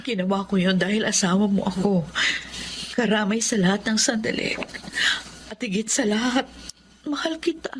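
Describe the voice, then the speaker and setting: A woman's voice: medium pitch, normal speed, pauses often, a little hiss or hum, close to an everyday voice. A woman playing a devoted, long-suffering young wife and housemaid in a Filipino radio drama, earnest and emotional. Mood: tender.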